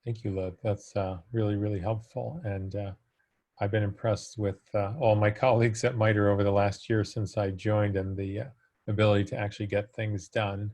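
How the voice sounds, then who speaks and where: A man moderating an online panel, introducing speakers informally in a somewhat halting, hesitant way. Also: lowish voice, little pitch movement, a little mellow. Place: remote video call.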